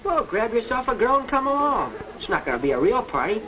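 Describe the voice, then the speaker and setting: A young male actor playing a breezy, sociable high school boy with an American accent in a 1940s educational film. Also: big pitch swings, fast. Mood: breezy, coaxing. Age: teenager. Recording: muffled, distant, loud crowd chatter behind.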